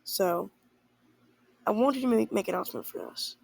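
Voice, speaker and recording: A young teenager with a creaky voice, making an announcement in an amateur fan drama. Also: medium pitch, normal speed, a little mellow. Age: teen.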